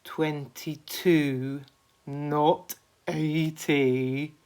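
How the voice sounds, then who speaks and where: Woman as a prim, proud earthworm, slow and emphatic. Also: deep voice, fairly even intonation, a little mellow, heavily acted. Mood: exasperated. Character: prim, proud, easily offended male earthworm.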